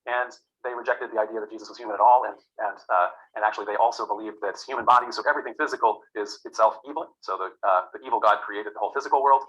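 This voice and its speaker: A man's voice: lowish voice, fairly even intonation, very fast, hardly any pauses, bright, forceful tone, lo-fi sound. A man giving a classroom lecture, rambling with many fillers and restarts.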